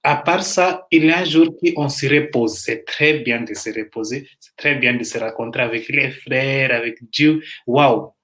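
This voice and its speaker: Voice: medium pitch, normal speed, few pauses, a bit bright. Enthusiastic co-host of a faith livestream. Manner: enthusiastic, preachy exclamations. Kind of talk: casual live-stream chat.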